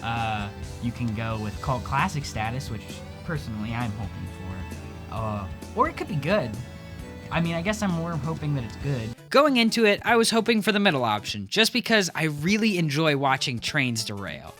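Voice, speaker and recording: A man's voice: medium pitch, very animated, moderate pace, music in the background. A young man hosting a video essay in a wry, casual scripted commentary style, with fillers. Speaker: host.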